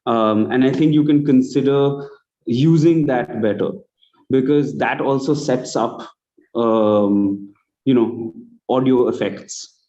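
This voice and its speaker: A man with an Indian accent offers critique in a conversational way. His voice is soft-edged and dark, sitting at an ordinary male pitch. The sound is dull and lo-fi, as on an online call. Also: slow. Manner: conversational, acts out example lines. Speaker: judge giving feedback on student audio plays. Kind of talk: spoken critique on an online event.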